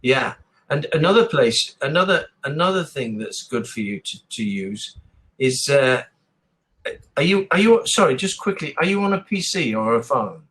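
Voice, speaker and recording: A man's voice: mid-range voice, animated, normal speed, fairly bright tone. A man with an English accent chatting casually and encouragingly as an online tutor, often repeating and rephrasing his advice over a video call. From England. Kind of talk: casual one-to-one lesson chat.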